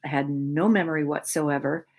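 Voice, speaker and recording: An American woman hosting her own video, explaining things reflectively to viewers in deliberate, halting phrases with pauses. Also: deep voice, fairly even intonation, a little mellow. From Michigan, United States (born in Poland). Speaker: video series host.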